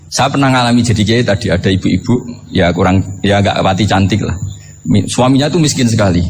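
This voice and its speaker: A man's voice: lowish voice, fairly quick, noisy background. A man from Indonesia with a Javanese accent preaches informally as a storyteller, conversational and joking, often posing rhetorical questions. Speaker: Islamic preacher. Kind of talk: informal sermon with humorous storytelling.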